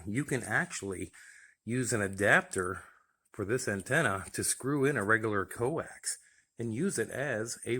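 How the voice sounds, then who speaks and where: A man's voice: on the low side, fairly bright tone. An American man giving a casual, plain-spoken, folksy demonstration of his gear. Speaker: radio hobbyist presenting his gear.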